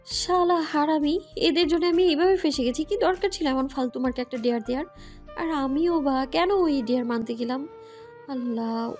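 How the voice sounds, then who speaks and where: A woman plays a young female university student with a high voice. She is feisty and hot-tempered, and she grumbles with theatrical indignation. It is a dramatic audio story performance. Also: a little mellow. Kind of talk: Bengali audio story acting.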